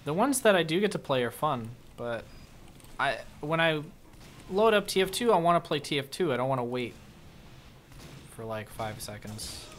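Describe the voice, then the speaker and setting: A man's voice: fairly high pitch, normal speed, long pauses, a little hiss or hum. Laid-back young man streaming a game. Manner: relaxed, answers chat questions between plays. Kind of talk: casual live-stream chat while gaming.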